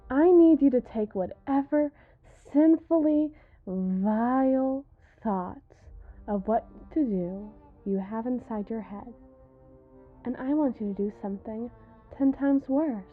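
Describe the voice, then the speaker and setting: A woman's voice: on the high side, narrow, tinny audio. A woman playing a menacing, mockingly sweet female mob boss in a dramatic ASMR roleplay, taunting and drawing out her words for emphasis.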